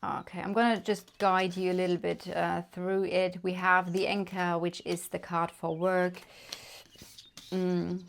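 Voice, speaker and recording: A woman from Germany with a German accent, giving a casual tarot card reading with gentle, unhurried talk and many fillers. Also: lowish voice, level tone, gravelly. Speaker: tarot reader.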